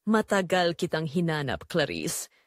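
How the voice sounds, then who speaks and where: Woman as devoted earnest husband, soft tender delivery, audiobook dialogue, dry close sound. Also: sits low, very animated, a little slow, no room sound. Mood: longing, determined. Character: gentle, earnest first husband, longing and devoted.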